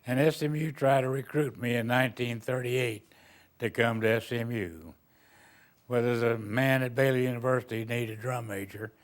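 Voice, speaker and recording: An older man from Texas, a retired band director, telling rambling, folksy stories in an interview. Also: fairly low pitch. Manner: rambling, folksy storytelling with chuckles. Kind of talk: reminiscing interview answers.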